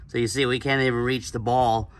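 A man hosting a casual how-to video, narrating a trailer upgrade outdoors in a relaxed, plain-spoken way while holding the camera. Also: mid-range voice, fairly quick. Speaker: DIY channel host. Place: outdoors on a concrete driveway. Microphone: camera mic, one hand on camera.

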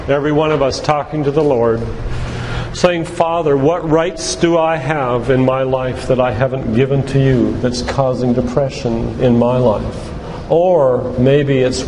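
A man's voice: mid-range voice, a little mellow, big-room sound. Noisy live recording, man leading prayer. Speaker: guest lecturer leading church prayer. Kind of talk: church altar call and prayer. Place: live in a church.

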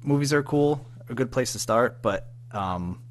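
A man chatting casually as an interview guest, informal and halting, padding his sentences with filler phrases. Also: mid-range voice, expressive. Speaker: podcast guest, audio drama writer-producer.

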